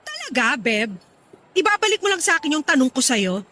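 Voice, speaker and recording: A woman's voice: high voice, sing-song, a little fast, pushed and bright, exaggerated voice. A woman playing an irritable, fed-up young girlfriend in a radio drama, sulky, scolding and sarcastic.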